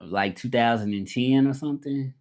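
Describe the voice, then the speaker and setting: A man's voice: medium pitch, moderate pace, a little mellow. A man from the American South with a Southern accent, chatting casually on a livestream as its host, colloquial and often repeating his phrases. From southern United States. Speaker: livestream host, language content creator. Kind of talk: casual livestream chat, switching between English and French.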